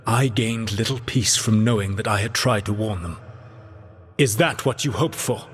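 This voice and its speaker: An actor playing a grave, regretful ancient elven god in a video game, speaking in a measured, formal, solemn way. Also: male voice, on the low side, slightly rough, soft background music. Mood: grim, haunted. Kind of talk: dramatic video game character dialogue. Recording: long echoing reverb on the voice.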